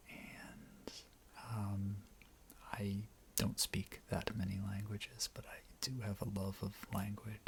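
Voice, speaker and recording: A man chatting quietly in a measured, soft-spoken ASMR style that often drops into a whisper. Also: lowish voice, vocal fry, a little hiss or hum. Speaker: ASMR co-host, host's husband.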